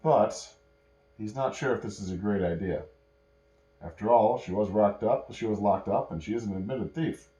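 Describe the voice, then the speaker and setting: A man improvising a bedtime story as narrator, pausing and correcting himself as he goes. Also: lowish voice, dark tone, lo-fi sound. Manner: pauses and self-corrections while telling. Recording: echoey room.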